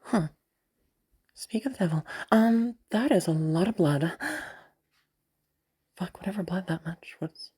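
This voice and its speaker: Someone putting on a husky, breathy, proud woman inventor. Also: mid-range voice, unhurried, pauses often, dark tone. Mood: resigned, musing, then wary, hushed. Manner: muttering to self, sighing, sweary self-argument. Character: proud, frustrated young woman inventor out hunting in snow. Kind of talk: dramatic audio roleplay monologue.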